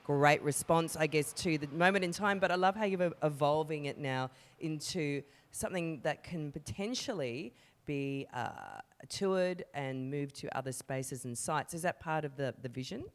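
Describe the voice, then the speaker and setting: A woman's voice: sits low, moderate pace. A woman, chatting effusively as she presents an arts interview. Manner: effusive, enthusiastic.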